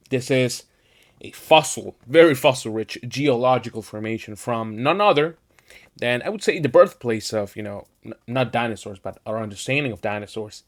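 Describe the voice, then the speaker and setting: A man hosting a casual tier-list ranking video, talking in a rambling, enthusiastic way with many filler phrases. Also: mid-range voice.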